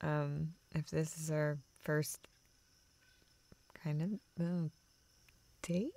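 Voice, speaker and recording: A woman's voice: deep voice, steady tone, extremely slow, darkish tone, heavily acted. A woman playing a playful, nervous young woman in an intimate romantic audio roleplay, hesitant and stammering, with a teasing, giggly edge. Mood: awkward, teasing. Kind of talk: intimate romantic audio roleplay, naturalistic improvised-sounding dialogue.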